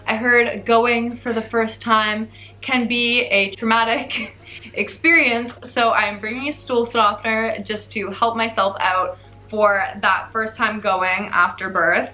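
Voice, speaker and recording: Upbeat Canadian woman with a bright voice. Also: a little high, steady tone, slow pace, soft background music, rough recording. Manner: upbeat, explains item by item. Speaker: vlogger. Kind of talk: show-and-tell packing vlog.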